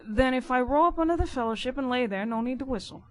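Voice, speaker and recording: A woman voicing a rough, sly, boastful working-class riverman informer in an audiobook, with insistent colloquial speech. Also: sits high, animated, quick pace, voice pushed up. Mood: wary, bargaining. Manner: colloquial, insistent, nonstandard grammar. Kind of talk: dramatic audiobook character reading.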